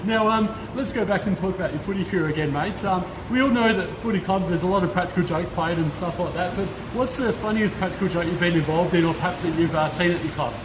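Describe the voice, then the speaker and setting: Fast-talking Australian host. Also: male voice, high voice. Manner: quick and chatty, calls the guest mate. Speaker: podcast host. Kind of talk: casual interview questions. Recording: background noise.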